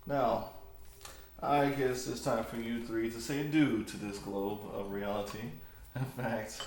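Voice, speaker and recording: A man's voice: mid-range voice, steady tone, measured pace, vocal fry, a little mellow. A man running a tabletop game as game master, switching between narration and casual banter. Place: indoor room, home game session. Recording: echoey room, background noise, home-made. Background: noise, air conditioner hum.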